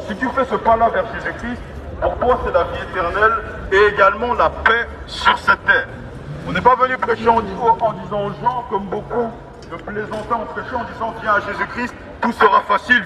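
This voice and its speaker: A man preaching in the open air through a megaphone, declamatory and repetitive, with halting restarts. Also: sits high, pushed and bright, slight background noise. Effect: megaphone amplification. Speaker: street evangelist. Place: outdoors in a busy urban public space. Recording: megaphone voice outdoors, distant, echoing, street bustle. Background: street crowd and vehicles.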